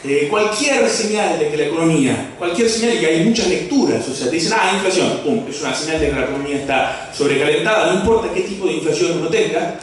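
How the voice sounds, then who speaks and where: Male lecturer, halting delivery with restarts and jokes, recorded from far off in a big reverberant room. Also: fairly high pitch, expressive. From Brazil. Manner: halting, many fillers and restarts, asides and jokes. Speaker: economics lecturer. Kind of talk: academic talk. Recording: echoey hall from far off, faint noise.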